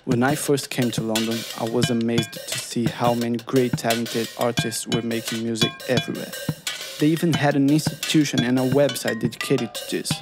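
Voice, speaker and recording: A man narrates a documentary in a measured, earnest voice-over. His pitch sits in an ordinary middle range. Loud music plays underneath him throughout. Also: fairly even intonation. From Brazil. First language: Portuguese.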